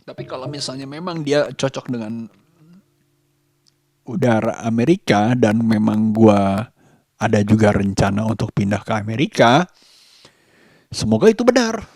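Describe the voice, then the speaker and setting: A man talking in a casual daily vlog, reflective and halting, pausing mid-sentence. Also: on the low side, animated, darkish tone, no room sound, studio quality. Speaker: vlogger.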